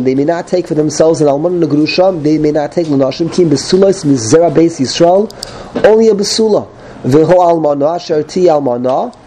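Man teacher with a cold, mellow voice, slightly raised pitch, casual digressive class, faint room hiss. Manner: digressive, restarts phrases, mixes in Hebrew terms. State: has a cold.

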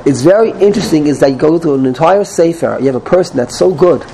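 Man teacher with a cold, mellow voice, slightly raised pitch, casual digressive class, faint room hiss. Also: animated, quick pace, vocal fry, rough recording. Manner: digressive, restarts phrases, mixes in Hebrew terms. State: has a cold.

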